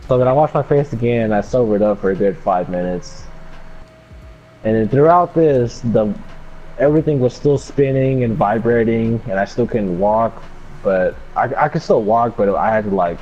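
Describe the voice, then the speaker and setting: A man's voice: fairly low pitch, a little mellow. A young man telling a personal story casually in a laid-back, slangy way with some swearing. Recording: dull lo-fi mic with background noise.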